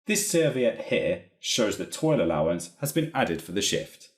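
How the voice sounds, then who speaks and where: A man narrating a software training walkthrough in a methodical, explanatory way. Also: lowish voice, very animated, a little slow. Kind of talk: instructional screen-recording narration. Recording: roomy, echoey voice recording.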